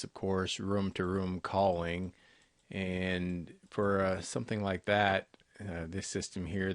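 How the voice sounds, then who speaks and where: A man giving a conversational, somewhat halting, unscripted product walkthrough as a salesperson. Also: deep voice, dry recording. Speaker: intercom company salesperson presenting products. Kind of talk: unscripted product walkthrough over screen recording.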